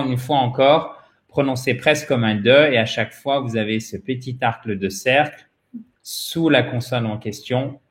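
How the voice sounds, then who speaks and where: A man's voice: a little high, roomy sound. A man giving an interactive pronunciation lesson, explaining didactically and addressing his learners directly, a native French speaker. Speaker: English pronunciation teacher, host.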